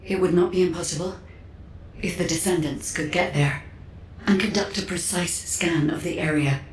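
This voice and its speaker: A woman voicing a measured artificial guide entity, speaking formally and without emotion in game cutscene dialogue. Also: on the low side, fairly even intonation, slight background noise, lightly acted. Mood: calm. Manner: formal, precise, unemotional. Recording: echoey reverberant sound.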